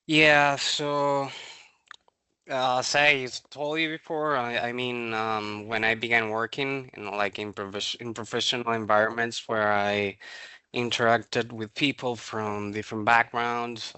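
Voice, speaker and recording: A young man from Nicaragua whose first language is Spanish, answering podcast interview questions in English with many pauses, ums and restarts. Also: on the low side, pushed and bright, clear, resonant tone, no room sound. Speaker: student services coordinator. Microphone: podcast microphone.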